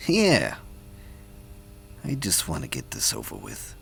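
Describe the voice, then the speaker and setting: A man's voice: rumbling low, wildly swooping pitch, slow, creaky, slight background noise, exaggerated voice. A man playing an uneasy, hesitant young male crew member who speaks tersely in a sci-fi audio play.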